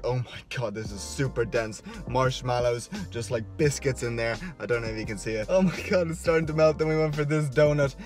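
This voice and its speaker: Excitable young Irish man eating in a car. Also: medium pitch. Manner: excitable, exclaiming between bites, laughing often. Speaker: fitness vlogger. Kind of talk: casual food vlog. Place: parked car, and a kitchen at home. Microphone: camera held at arm's length. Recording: music behind. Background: music.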